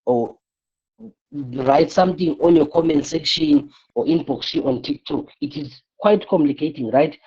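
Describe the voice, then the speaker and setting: A man's voice: fairly high pitch, mellow, husky, dull audio. A tired man, coaching a live online class and repeating his points with rhetorical questions. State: tired, voice strained.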